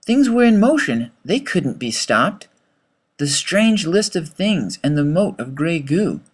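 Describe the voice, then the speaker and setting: A man reading a rhyming children's story as its author, with dramatic, expressive delivery. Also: a little high, slow, breathy edge.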